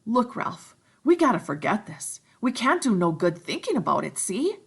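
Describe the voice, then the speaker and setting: A woman voicing a shrill, anxious schoolboy while reading a novel aloud. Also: moderate pace, vocal fry, breathy edge, full character voice. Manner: shrill, pleading, excited.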